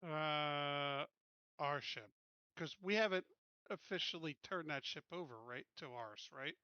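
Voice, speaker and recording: A man playing a tabletop game, chatting casually and thinking aloud in a halting way. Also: on the high side, bright, forceful tone, clean tone, no room sound, muffled recording.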